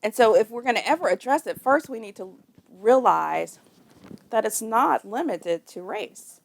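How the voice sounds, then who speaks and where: A woman in a serious documentary interview, speaking deliberately and stressing her contrasts. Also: on the high side, expressive, creaky.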